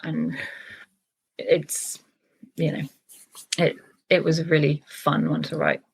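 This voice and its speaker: A woman's voice: sits low, very animated, takes it slowly, creaky, husky. A woman novelist talking about her writing in a casual interview, thoughtful and full of small restarts. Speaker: novelist guest.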